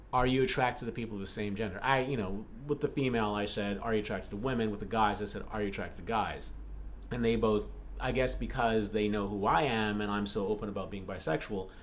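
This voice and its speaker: A man chatting casually to his vlog audience as an author, halting and repeating phrases for emphasis. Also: mid-range voice. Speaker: romance author and vlogger.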